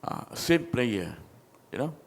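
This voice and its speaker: A man's voice: medium pitch, big pitch swings, takes it slowly, gravelly. A male journalist asking long, rambling questions at a press conference.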